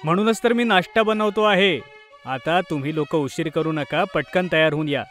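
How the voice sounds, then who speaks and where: Kindly dad male performer for a children's cartoon: apologetic, reassuring, fairly high voice. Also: moderate pace, fairly bright tone, faint music behind, natural voice. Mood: gentle, explaining. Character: kindly, apologetic father of two schoolchildren.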